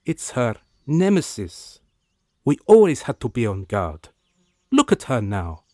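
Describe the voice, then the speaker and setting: Servant role: young gossip, deferential, theatrical, lively swooping pitch, dry close sound. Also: male voice, a little slow, no room sound. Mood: gossipy, pitying. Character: gossipy, sympathetic young male palace servant. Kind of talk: radio drama acting.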